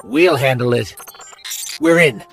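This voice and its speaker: A man playing an earnest, caring, curious robotic companion in a sci-fi video game, gentle and inquisitive. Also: mid-range voice, slow pace, creaky, music in the background. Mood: determined. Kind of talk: video game character dialogue.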